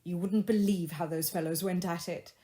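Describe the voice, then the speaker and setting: A woman reading literary fiction as an audiobook narrator, with expressive scripted delivery. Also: lowish voice, moderate pace.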